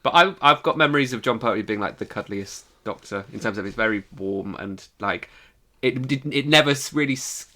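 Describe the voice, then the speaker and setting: A man's voice: fairly low pitch, sharp tone. A British man co-hosting a podcast, chatting casually in an informal, exaggerating way. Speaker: podcast co-host. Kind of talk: casual chat.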